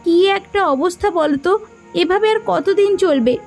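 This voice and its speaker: A woman's voice: very high voice, normal speed, creaky, faint music behind. A woman voicing a nagging, anxious village wife in a cartoon folk tale, insistent and dramatic.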